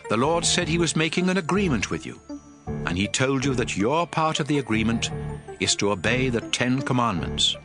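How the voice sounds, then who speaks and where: A man playing a stern, aged prophet exhorting his people in a solemn, emphatic dramatized scripture reading. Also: mid-range voice. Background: music.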